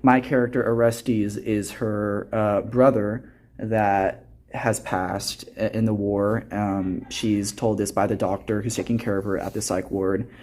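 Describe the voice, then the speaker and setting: Low-voiced teenage boy, reflective interview guest. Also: flat delivery, a little slow, darkish tone. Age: teens, high school senior. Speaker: student actor interviewed as a guest. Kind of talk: earnest conversational interview answers.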